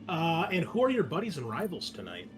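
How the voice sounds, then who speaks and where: A man hosting and running a tabletop game session, chatting casually and welcoming his players in a friendly way. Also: a little high. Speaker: game master and show host.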